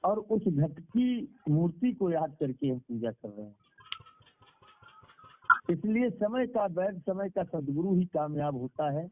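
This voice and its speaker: Higher-voiced male preacher on a phone line. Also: lively intonation, slow pace, background noise. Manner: repeats phrases for emphasis. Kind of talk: devotional sermon with moral storytelling. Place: speaking over a conference call. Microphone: telephone. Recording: tinny phone conference-call line.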